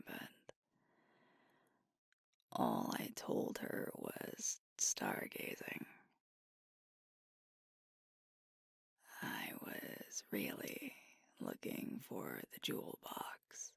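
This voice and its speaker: A woman voicing a sweet, tender werewolf girlfriend in an ASMR roleplay, speaking in a soft, breathy whisper with gentle, intimate storytelling. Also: extremely low pitch, dry recording, studio quality, full character voice. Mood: soft confiding.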